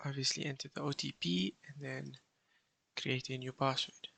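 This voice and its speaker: A young man with a husky, breathy voice giving an understated step-by-step software walkthrough. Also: mid-range voice, steady tone, normal speed. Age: young adult.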